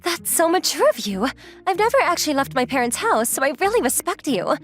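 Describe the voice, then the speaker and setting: Woman acting a breezy young model, high lively voice. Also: fairly quick. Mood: playful, inquisitive. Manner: forward, playful, casually inquisitive. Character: confident, teasing, breezy young female model and student. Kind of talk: anime-style dub acting. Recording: music behind the voice.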